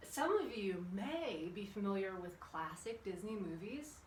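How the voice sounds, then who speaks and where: A woman's voice: mid-range voice, lively intonation, a little slow, roomy sound. Woman, children's fitness instructor, encouraging, question-filled delivery, distant microphone, some background noise, indoor room. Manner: chatty, encouraging, asks the audience questions. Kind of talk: instructional exercise class for kids, addressing viewers. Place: indoor room with windows open. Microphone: camera at a distance.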